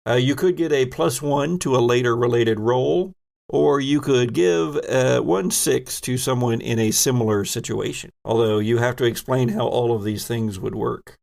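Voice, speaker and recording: A man's voice: medium pitch, moderate pace, creaky, a little mellow. A man running a tabletop horror game as game master, improvising suspenseful narration. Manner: suspenseful, second-person scene-setting.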